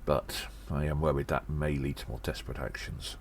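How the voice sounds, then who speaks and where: A man playing a self-important, cheeky gnome undercover agent, delivering a deliberate and theatrical audio drama monologue. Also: a bass voice, flat delivery, slight background noise. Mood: grave, concerned.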